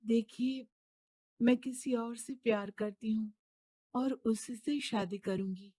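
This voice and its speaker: A woman's voice: a little high, takes it slowly, mellow, husky, dry recording. A woman voicing a stubborn young rich man's daughter in a cartoon moral story, pleading and insistent. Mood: firm.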